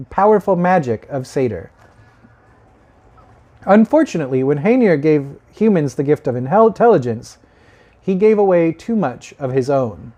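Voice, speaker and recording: A male storyteller with a fairly high voice and a very mellow, relaxed tone. He tells myths informally, as if in conversation. His delivery has a wry edge.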